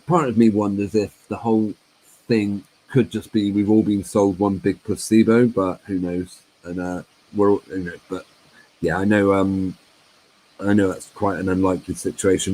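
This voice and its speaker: Low mellow-voiced man host. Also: rough recording. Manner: hesitant, musing, many fillers. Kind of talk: casual call-in chat.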